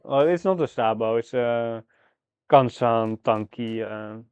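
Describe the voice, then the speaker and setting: Slow, halting man streamer, smooth voice. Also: mid-range voice, a little mellow, no echo, muffled recording. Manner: halting, thinking aloud in fragments. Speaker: game streamer. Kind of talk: live mahjong gameplay commentary, thinking aloud.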